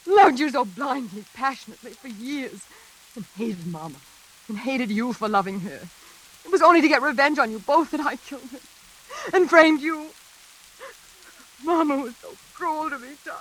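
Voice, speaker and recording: An actress playing a hysterical, guilt-ridden woman in a melodramatic 1940s radio suspense play, gasping and halting as she speaks. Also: sits high, expressive, fairly bright tone, rough recording. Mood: anguished confession. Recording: hissy old radio recording.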